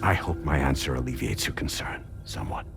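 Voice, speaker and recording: Game role: a male company president, deep, authoritative and courteous, measured formal speech over soft music. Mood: courteous, assured. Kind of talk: scripted game cutscene speech.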